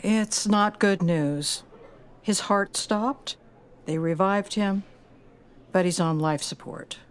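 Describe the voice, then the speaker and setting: An actress playing a protective, troubled, tough-minded granddaughter in an audio drama, earnest in manner. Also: female voice, medium pitch, slow, clean tone, close to an everyday voice. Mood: gentle, upset.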